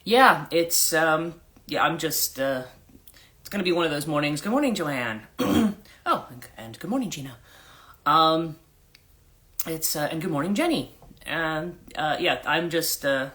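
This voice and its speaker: A woman hosting a casual morning livestream, her voice husky and congested from allergies, rambling with fillers and frequent throat clearing. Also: expressive, measured pace, fairly bright tone. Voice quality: husky, a register lower than usual. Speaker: life coach hosting a livestream.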